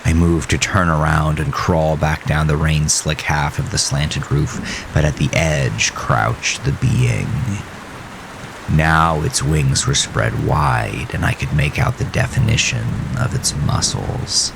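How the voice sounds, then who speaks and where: A man narrating a first-person horror story in a deliberate, suspenseful, dramatic way with a gravelly voice. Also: extremely low pitch. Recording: rain and storm noise behind voice. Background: rain, storm ambience.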